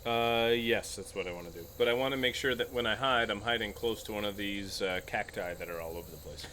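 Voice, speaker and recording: A man's voice: fairly low pitch, fairly quick, sharp tone, slight background noise. A man playing a tabletop role-playing game, casually thinking his moves through aloud.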